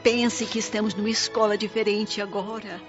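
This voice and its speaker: A Brazilian woman playing a gentle, devout elderly mother spirit in a radio drama, speaking with tender, solemn earnestness. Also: medium pitch, steady tone, moderate pace, close to an everyday voice. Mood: solemn, loving. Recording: dull radio drama with loud music.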